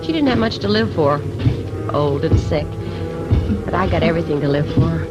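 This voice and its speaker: An actress playing a vain, needy thirty-eight-year-old murder suspect coming apart, in an old 1950s radio drama. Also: female voice, low voice, expressive, moderate pace, loud music behind the voice, rough recording. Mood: coy, wistful. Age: middle-aged. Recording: vintage 1950s radio recording.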